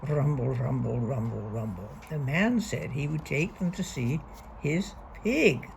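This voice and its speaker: Woman storybook reader for young children, very creaky voice, lively melodic swings, emphatic key words, faint background noise. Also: very deep voice. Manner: deliberate, expressive read-aloud with emphasised key words. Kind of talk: reading a young children's picture book aloud.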